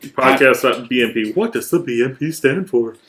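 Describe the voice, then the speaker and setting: A man's voice: medium pitch, lively intonation, moderate pace, a bit bright, a little hiss or hum. A man co-hosting a podcast, chatting casually with a friend in a joking, sometimes mock-dramatic way. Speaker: podcast co-host. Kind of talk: casual banter between friends.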